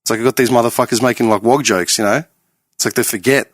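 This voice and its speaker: A man's voice: mid-range voice, quick pace, no room sound, studio quality. Emphatic swearing man, late thirties. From Australia. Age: late 30s. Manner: emphatic, swears often, animated storytelling. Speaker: podcast co-host. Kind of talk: casual podcast chat.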